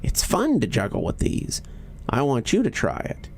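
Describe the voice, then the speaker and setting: A man plays a small, sinister creature from a horror storybook. It coaxes and insists with false friendliness, its pitch moving freely over a creaky edge. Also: wildly swooping pitch, moderate pace. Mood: coaxing. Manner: coaxing, insistent.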